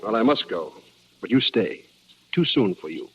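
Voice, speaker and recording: Low-voiced plainspoken frontier prospector. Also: male voice, very animated, normal speed. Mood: calm, firm. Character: plainspoken former soldier turned prospector telling his own story. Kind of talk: 1950s radio drama narration. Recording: dull old radio recording, loud music behind.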